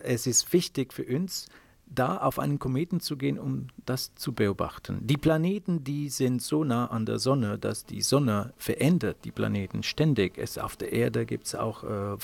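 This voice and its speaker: A man's voice: mid-range voice, gravelly, dry recording. A middle-aged Italian man with an Italian accent, answering interview questions in hesitant German full of filler sounds. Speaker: space mission software engineer. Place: office at a space operations centre.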